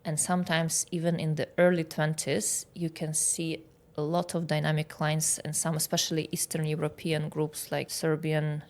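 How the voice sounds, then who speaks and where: A woman's voice: deep voice, completely flat delivery, normal speed, darkish tone. A woman in her thirties from Kazakhstan with a Russian accent, explaining things conversationally as an expert interview guest. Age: 30s. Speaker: guest expert, aesthetic doctor.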